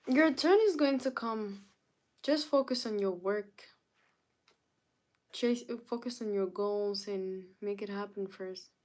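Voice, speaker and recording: A young woman from Canada hosts a relaxed live stream, talking at an unhurried, drawn-out pace. She greets viewers one by one and replies to their chat comments in an easygoing, conversational way. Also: mid-range voice. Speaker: livestreamer.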